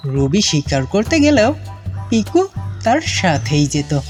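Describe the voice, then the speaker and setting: A woman's voice: mid-range voice, sing-song, slow pace, darkish tone, soft background music. A woman narrating a children's cartoon story in a dramatic, suspenseful storytelling manner.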